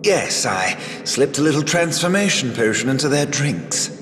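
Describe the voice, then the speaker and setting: An actor voicing a sly, suave talking frog who was once human, in a teasing, measured video game performance. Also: male voice, medium pitch, sing-song, lightly acted. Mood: sly, matter-of-fact. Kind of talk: scripted video game voice acting. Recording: roomy hall reverb, music underneath.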